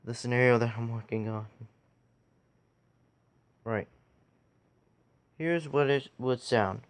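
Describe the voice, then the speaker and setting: Man, casual tutorial narration, slow pace, halting short phrases, medium pitch. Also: smooth. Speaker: tutorial video creator. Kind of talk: casual screen-recorded how-to tutorial.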